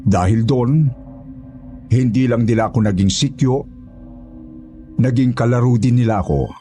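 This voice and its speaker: A man's voice: mid-range voice, moderate pace, dark tone, faint music behind, close to an everyday voice. A man playing a former orphanage guard who recounts eerie memories as a first-person horror story narrator. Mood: reminiscing.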